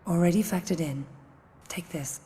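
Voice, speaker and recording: Low, mellow female spy handler. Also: husky. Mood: composed, businesslike. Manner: measured, matter-of-fact. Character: businesslike female agency handler briefing an assassin. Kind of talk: video game cutscene acting. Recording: long reverb, faint noise.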